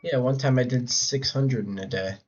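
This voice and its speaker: A man streaming a game in casual chat who rambles with fillers and restarts, his voice hoarse from talking. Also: medium pitch, moderate pace. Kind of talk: casual live-stream chat while playing. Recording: dull lo-fi mic with faint keyboard typing.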